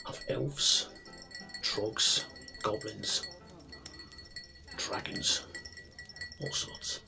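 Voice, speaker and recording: A man playing a friendly, proud tavern barkeep in a fantasy ASMR roleplay, speaking softly and breathily, often near a whisper, in an unhurried, rambling way. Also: lowish voice, vocal fry, exaggerated voice. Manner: unhurried, chatty, rambling with pauses. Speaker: roleplay performer. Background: soft music and tavern ambience.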